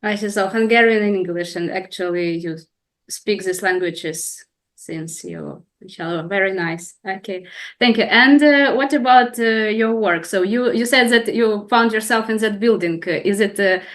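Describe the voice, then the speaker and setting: A woman's voice: medium pitch, moderate pace, a bit bright. A woman interviewing a guest, asking questions politely with some hesitation.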